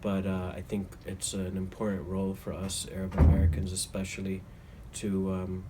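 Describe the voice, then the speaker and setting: A man in his thirties from Ohio, answering interview questions in a measured, earnest way with some hesitations. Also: low-pitched. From Toledo, Ohio, US. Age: 30s. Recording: steady background noise.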